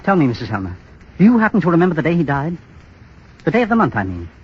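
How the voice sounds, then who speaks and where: An actor playing a desperate, menacing moneylender in a 1930s radio drama, insistent and coldly insinuating. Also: male voice, on the high side, wildly swooping pitch, rapid-fire, slightly rough, heavily acted. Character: desperate, menacing bank clerk and blackmailing moneylender. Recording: muffled, scratchy 1930s radio broadcast.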